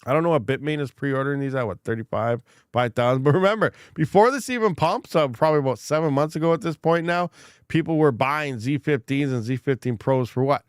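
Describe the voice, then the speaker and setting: A man's voice: a little high, fairly quick, clear, resonant tone, dry recording, clean studio sound. A man hosting a crypto mining livestream and reading chat comments, congested while recovering from a lost voice, rambling frankly with many fillers and restarts.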